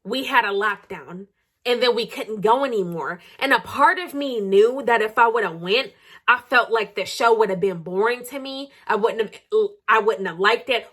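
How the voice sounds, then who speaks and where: A woman's voice: a little high, a bit bright. An American woman vlogger delivering a heated gossip rant to viewers, blunt and confrontational, swearing heavily and repeating phrases for sarcastic emphasis.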